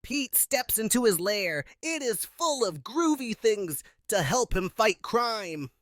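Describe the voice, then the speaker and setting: High-pitched, unhurried woman storyteller. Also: no echo, clean studio sound. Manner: simple, expressive read-aloud delivery. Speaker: read-aloud storyteller. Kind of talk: children's picture book narration.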